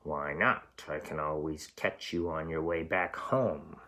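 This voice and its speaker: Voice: a bass voice, a little slow, bright, forceful tone, pitched below the performer's own voice, exaggerated voice. Someone putting on a roaring, greedy old troll. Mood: scheming, muttering. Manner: roaring threats, muttering when scheming. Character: hideous, stinky old male bridge troll, greedy bully.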